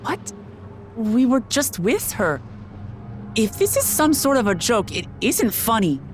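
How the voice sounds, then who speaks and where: A woman's voice: a little high, sing-song, unhurried, slight background noise. A woman playing an earnest, determined young warrior in a video game, plainspoken and direct. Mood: upset, disbelieving. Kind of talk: scripted video game cutscene acting.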